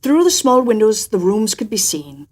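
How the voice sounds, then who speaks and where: A woman reading a children's story aloud in an expressive, theatrical storytelling manner, with emphatic swells and softer drops. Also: mid-range voice, moderate pace. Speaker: storyteller and host. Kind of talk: expressive storybook narration with an in-character personal anecdote.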